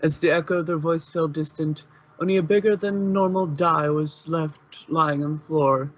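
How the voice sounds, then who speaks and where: A man's voice: fairly high pitch, fairly even intonation, moderate pace, dull audio. A man giving an informal narration of a fanfiction story.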